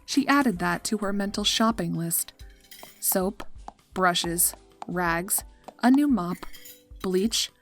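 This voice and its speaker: A woman's voice: medium pitch, expressive, takes it slowly, pauses often, fairly bright tone. A woman reading scripted story narration as the narrator. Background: music.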